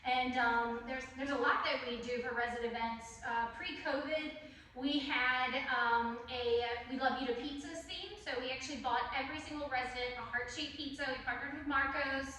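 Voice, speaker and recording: A woman's voice: high-pitched, fairly even intonation, normal speed, bright, forceful tone, a little hiss or hum. A woman in a property office team, talking casually with many ums and restarts in a team video. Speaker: property office staff member. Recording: echoey room from far off.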